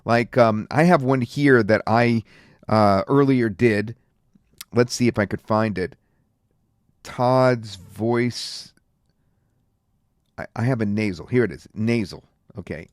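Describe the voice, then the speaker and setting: A man reviewing and demonstrating software in a casual, rambling way with many fillers. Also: fairly low pitch, takes it slowly, long pauses, no echo, studio quality. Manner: casual, filler-heavy talk. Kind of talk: screen-recorded software demo.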